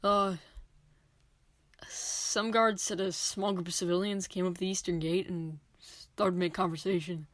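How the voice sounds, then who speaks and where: A woman's voice: fairly low pitch, steady tone, measured pace, a bit bright, no room sound. A woman playing a matter-of-fact mercenary giving a report in an audio drama. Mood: sober.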